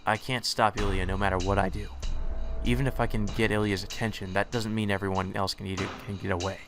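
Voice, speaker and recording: A man with a low voice reads a visual novel aloud in the first person, steady and plain, with the odd stumble over a word. Faint music plays underneath. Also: fairly quick. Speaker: let's play narrator.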